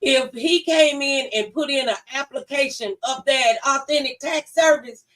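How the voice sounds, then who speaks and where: A woman's voice: high-pitched, moderate pace, fairly bright tone. A woman hosting a live call-in talk show in a conversational way. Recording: distant from the microphone.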